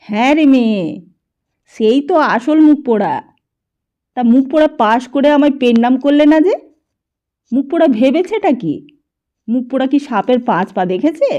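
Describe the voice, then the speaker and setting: A woman voicing a cantankerous, hot-tempered old blind grandfather who shouts and scolds, in a dull lo-fi recording. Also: sits high, animated, quick pace, voice pushed up. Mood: scornful.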